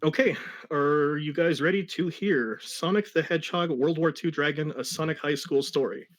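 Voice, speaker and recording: A man's voice: on the high side, normal speed. A man co-hosting a livestream as its reader, talking casually and presenting a story. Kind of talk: casual chat and dramatic reading.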